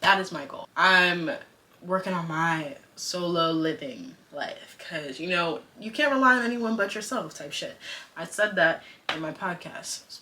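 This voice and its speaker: Bright-voiced chatty young woman vlogger. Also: lowish voice. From United States. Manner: chatty, animated, slangy and self-joking. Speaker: vlogger and podcaster. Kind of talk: casual vlog chat.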